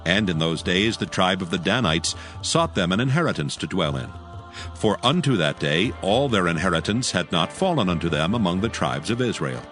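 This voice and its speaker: A man's voice: fairly low pitch, fairly bright tone. A man giving solemn, storytelling narration of dramatized scripture.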